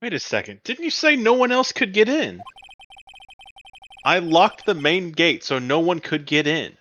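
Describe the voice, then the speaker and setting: Young male game attorney, voiced by a man: forceful, incredulous, exasperated, on the higher side. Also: big pitch swings, a little slow, lo-fi sound, exaggerated voice. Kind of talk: amateur video game voice-over read.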